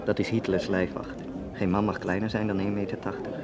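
A man's voice: fairly low pitch, flat delivery, fairly quick, a crowd in the background, natural voice. A male actor playing a worldly, enthusiastic young man who instructs his companion in a knowing, theatrical way. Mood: knowing, explaining. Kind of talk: radio drama acting. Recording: clear background noise.

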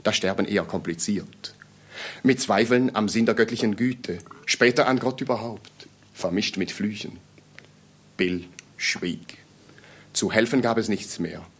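A man acting in a German radio play as a meek, fawning Swiss admirer, with a husky voice and a hesitant, deferential, stammering manner. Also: lowish voice, unhurried, a bit bright, heavily acted. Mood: reverent reciting. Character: meek, fawning, persistent male Swiss admirer, prim and cautious.

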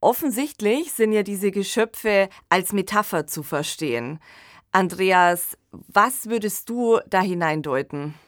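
A woman's voice: medium pitch, expressive, unhurried, dry recording, clean studio sound. A woman, co-hosting a podcast and explaining things in a colloquial, conversational way. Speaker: podcast co-host. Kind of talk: conversational discussion of fiction and film.

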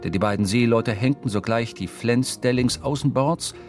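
An actor playing a seafaring narrator, telling of whaling in measured, explanatory literary prose for a radio drama. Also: male voice, mid-range voice, faint music behind, lightly acted. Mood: matter-of-fact.

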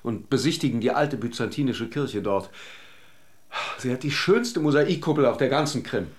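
An actor playing a wry, attentive lover in a German radio drama, understated and a little hesitant. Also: male voice, medium pitch, expressive, normal speed, slightly rough, dry recording. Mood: restrained. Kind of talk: German radio drama acting, with reflective narration.

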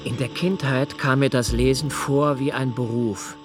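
A man's voice: mid-range voice, fairly even intonation, a little slow, lightly acted. An actor playing a lonely young male poet, narrating a radio play with an earnest, intense reading over faint music. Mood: reflective.

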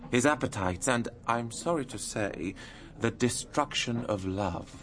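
An actor playing an earnest, wealthy London impresario in a radio drama, speaking in an emphatic, confiding way. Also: male voice, medium pitch, animated, unhurried, fairly bright tone. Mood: wistful narrating. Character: earnest, wealthy young male London impresario, wistful storyteller.

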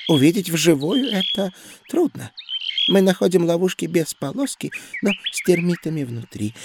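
Enthusiastic high-pitched botanist. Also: male voice. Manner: expository, emphatic. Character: enthusiastic male botanist explaining carnivorous plants. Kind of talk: children's educational audio drama. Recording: noisy jungle backdrop of birds, frogs.

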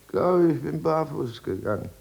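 An actor playing a middle-aged drunken husband in a 1950s German radio drama, rambling and sardonic, sliding between self-pity and false jauntiness. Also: male voice, a little high, lively intonation, a little mellow. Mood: maudlin, sardonic. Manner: rambling, sardonic, with drawn-out musing. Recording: gravel-free but aged 1950s radio recording.

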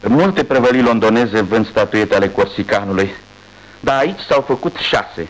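A man's voice: lowish voice, animated, close to an everyday voice, poor audio. An actor playing a proud, obliging male workshop foreman who speaks plainly and matter-of-factly, in a 1980s radio drama.

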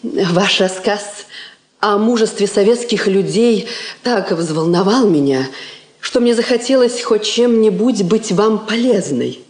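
A gushing, lilting society countess. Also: female voice, medium pitch, animated, slow, darkish tone. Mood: gracious, effusive. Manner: effusive, affected politeness. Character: gushing, genteel society countess, affected and chatty. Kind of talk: Soviet stage-style radio drama acting. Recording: echoey old studio drama recording.

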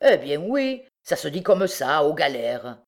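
A woman voicing a callous male ex-convict, a rough street villain who talks in a scornful, slangy, offhand way. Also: low-pitched, very animated, a little slow, full character voice. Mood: mocking.

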